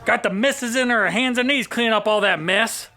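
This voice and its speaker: An actor playing an irritable, suspicious farmer with a folksy, indignant manner in an audio drama. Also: male voice, extremely high pitch, a little fast, bright, forceful tone, full character voice.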